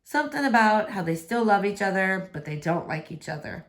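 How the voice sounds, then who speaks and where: A woman reading the part of a sullen, heartbroken adolescent boy in terse, low-key replies. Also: sing-song, a little fast, close to an everyday voice. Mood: glum.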